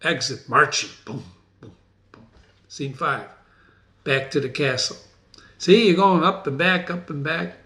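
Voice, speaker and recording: Casting: enthusiastic male reader-explainer, bright forceful tone, slightly high pitch, casual teaching delivery. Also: unhurried, long pauses. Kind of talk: dramatic reading with casual explanatory asides.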